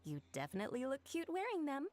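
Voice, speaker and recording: A warm, confident boutique owner; brisk and polite. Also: female voice, high-pitched, wildly swooping pitch. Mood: friendly. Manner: businesslike, friendly. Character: friendly confident female shop owner. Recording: game audio with faint music.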